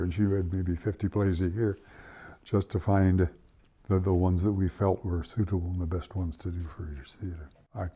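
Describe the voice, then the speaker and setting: An older man speaking reflectively in an interview, with measured, articulate and deliberate phrasing. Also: deep voice, mellow. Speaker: theatre founder and director.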